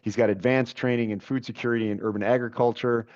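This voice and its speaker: A man in his forties hosting a webcast interview, chatty and friendly, with fillers and quick interjections. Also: mid-range voice, steady tone, darkish tone. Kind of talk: casual conversational interview. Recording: dull lo-fi audio.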